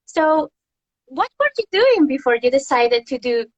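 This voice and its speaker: A woman's voice: high-pitched, very animated, unhurried, dull audio. A woman hosting a casual interview as a tour guide and food historian, chatty and laughing often.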